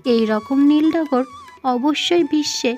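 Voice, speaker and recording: A woman's voice: sits high, takes it slowly, darkish tone. A woman voicing a stubborn, dreamy young princess in a fairy-tale cartoon, polite but resolute. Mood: dreamy, earnest. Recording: music under the voice.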